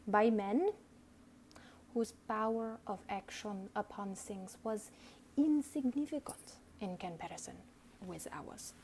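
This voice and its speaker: Over clear background noise, a woman with a soft-edged, mellow tone performs a dramatic reading of an essay. She takes her time, theatrical and deliberate, letting pauses land between phrases. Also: fairly high pitch. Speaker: reader.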